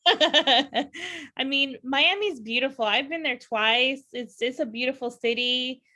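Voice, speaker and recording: A woman's voice: sits high, takes it slowly, pushed and bright. A woman from California hosting a podcast interview, chatting casually with lots of fillers and frequent laughter. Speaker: podcast host.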